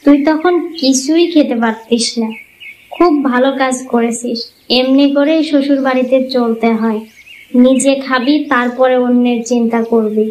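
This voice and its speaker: A woman's voice: high-pitched, fairly even intonation, normal speed, darkish tone, close to an everyday voice. A woman voicing a bossy, scheming married woman in a cartoon family folktale, with an insistent, wheedling way of talking. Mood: bossy, advising. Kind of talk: cartoon fairy-tale voice acting. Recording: muffled lo-fi audio, clear background noise.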